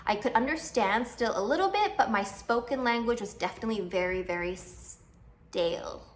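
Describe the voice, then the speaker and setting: A woman's voice: on the high side, lively intonation, moderate pace, a little hiss or hum. Chatty young mother vlogging. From Canada. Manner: chatty, self-deprecating, many fillers. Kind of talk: casual chat to camera.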